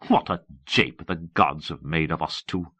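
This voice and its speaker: A man's voice: measured pace, a bit bright, slightly rough, no echo, full character voice. A man voicing a proud, scornful queen in an audiobook, with pointed, contemptuous delivery. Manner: contemptuous, pointed, raised pitch. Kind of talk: audiobook character acting.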